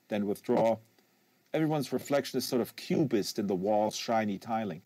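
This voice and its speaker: A man's voice: mid-range voice, a little mellow, dry recording. Man reading a novel, dry studio sound. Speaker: audiobook reader and host. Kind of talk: live literary novel reading, scripted narration. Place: small acoustically treated home studio. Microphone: close studio microphone.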